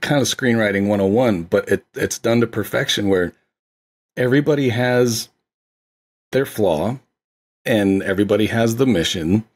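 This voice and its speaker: A man's voice: fairly low pitch, normal speed. A man co-hosting a casual film discussion, talking in an enthusiastic, appreciative way. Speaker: podcast co-host discussing films.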